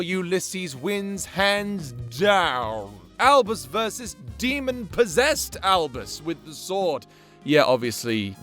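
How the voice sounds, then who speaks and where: Male commentator, slightly high-pitched voice, casual and unscripted, weighs options aloud, faint music behind. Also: a bit bright. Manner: thinks aloud, weighing and second-guessing before giving blunt verdicts; mild swearing. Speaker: audio creator ranking his fictional characters in matchups.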